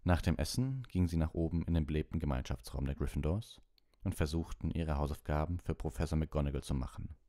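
A man with a gravelly, very deep voice reading a story as an audiobook narrator. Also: fast talker, dark tone, dry recording, studio quality.

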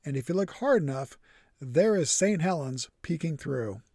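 A man reads his camping tips over the footage in a dry, matter-of-fact way, with a wry touch of humor. His voice sits a little high for a man. The recording is close and dead, with no room sound. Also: lively intonation, normal speed, darkish tone, slightly rough, no echo. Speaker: vlogger. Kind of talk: scripted voice-over narration.

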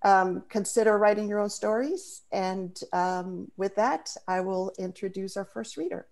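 A woman's voice: mid-range voice, measured pace, vocal fry, darkish tone. A woman from the Dominican Republic, a native Spanish speaker, hosting a video call event in a thoughtful way with frequent fillers and restarts. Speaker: host.

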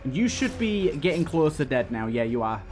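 A man with a slightly high voice talks casually through a video game, reasoning out each tactic aloud as he goes. Music plays behind him. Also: very animated, normal speed, a little mellow. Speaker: gaming channel host. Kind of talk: casual gameplay commentary.

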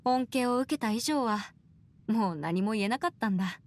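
Woman voicing an earnest, higher-pitched young advocate. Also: animated, moderate pace, darkish tone, natural voice. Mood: thoughtful. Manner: articulate, earnest, crisp formal phrasing. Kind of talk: anime game voice acting.

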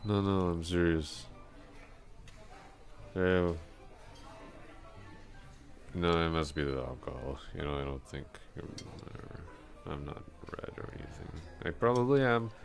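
A man playing a drunk, lovestruck coworker in an intimate ASMR roleplay, rambling bashfully, halting, repeating himself and putting himself down. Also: sits low, lively intonation, soft background music. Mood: bashful, smitten.

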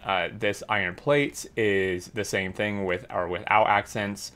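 Male YouTuber, low-ish voice, casual filler-heavy commentary on a dev blog. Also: steady tone, a little slow, a bit bright. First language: English. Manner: chatty, filler-heavy, upbeat. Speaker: gaming YouTuber.

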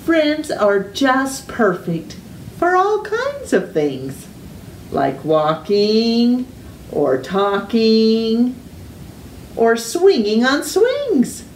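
Very slow, expressive woman reading a storybook. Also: fairly high pitch. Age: middle-aged. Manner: deliberate, exaggerated storytime delivery with drawn-out words. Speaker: storytime host reading to children. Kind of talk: expressive read-aloud of a children's picture book. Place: living room at home. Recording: roomy echo, distant mic, faint noise.

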